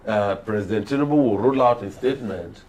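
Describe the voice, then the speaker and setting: A man with a Nigerian accent giving political commentary on a TV panel in measured, oratorical phrasing. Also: on the low side, a little mellow, a little hiss or hum.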